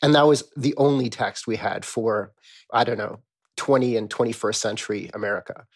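A man speaking as an academic expert in a conversational scholarly interview, explaining in an animated way with rhetorical questions. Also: lowish voice, normal speed, breathy edge.

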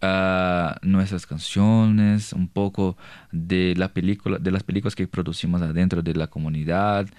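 A young man from Brazil speaking Spanish with a Brazilian Portuguese accent, a guest on a radio show who pauses often to search for words. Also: low voice, steady tone, a little mellow, no echo. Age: young adult. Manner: hesitant, searching for words in a second language. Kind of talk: radio interview.

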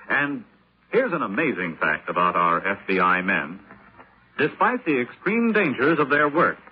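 A man's voice: medium pitch, breathy edge. A man with an American accent reading scripted 1950s radio announcements as an announcer. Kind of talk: 1950s scripted public-service narration. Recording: slightly muffled old radio recording.

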